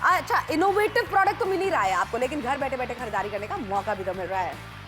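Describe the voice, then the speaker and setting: Female shopping co-host, high and fast, with clear music under her. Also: animated. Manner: chatty, urgent, agreeing with her co-host. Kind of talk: teleshopping sales pitch. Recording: studio with background music.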